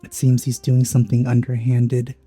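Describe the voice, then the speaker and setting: Female let's player: very low, very mellow voice, dry sardonic asides, game music behind. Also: moderate pace, slightly rough. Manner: deadpan, sardonic. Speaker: let's play commentator. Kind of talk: casual game commentary.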